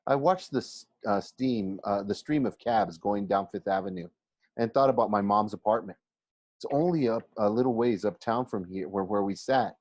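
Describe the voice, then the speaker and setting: A man reading a children's novel aloud like a teacher to a class, expressive but halting, often going back over phrases. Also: lowish voice, dry recording. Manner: halting, stumbles and rereads phrases.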